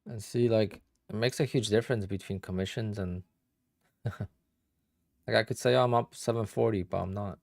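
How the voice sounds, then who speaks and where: A man's voice: fairly low pitch, fairly even intonation, moderate pace, vocal fry, long pauses, darkish tone, no echo, clean studio sound. A man in his thirties from Poland with a Polish accent, chatting casually on a live trading stream and answering viewers, sounding tired and sleepy. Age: 30s. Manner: chatty, answers viewer questions with many "like"s and "you know"s. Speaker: day trader streaming live.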